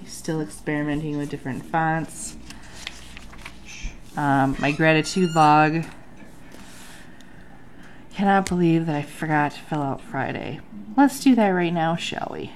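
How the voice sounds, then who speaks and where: A woman's voice: low-pitched, measured pace, long pauses, slight background noise. A woman in her thirties with a nasal voice from a sinus infection, chatting casually through her journal at a home desk with lots of ums. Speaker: bullet journal vlogger. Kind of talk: casual chat. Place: home desk under an overhead light.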